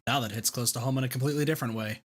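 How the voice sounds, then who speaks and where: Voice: mid-range voice, rapid-fire. Casual game streamer with easy, repetitive patter. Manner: informal, repeats own phrases.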